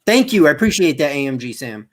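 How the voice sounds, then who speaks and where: A man hosting a casual sports livestream, talking in an animated, searching way. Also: on the high side, fast talker. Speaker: sports channel host.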